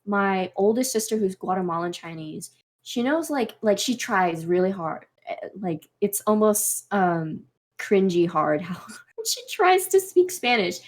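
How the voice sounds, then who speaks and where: A young woman co-hosting a casual podcast. She talks in a lively, exclamatory way and drops the odd swear word, in a voice of middling pitch. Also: a little slow. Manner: animated, exclaims and swears freely. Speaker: podcast co-host. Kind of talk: casual chat.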